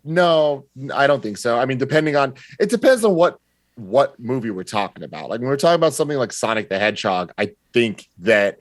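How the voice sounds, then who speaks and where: A man's voice: mid-range voice, lively intonation, a little fast, no echo, clean studio sound. A man speaking as a guest on a podcast, chatting casually and giving his opinions.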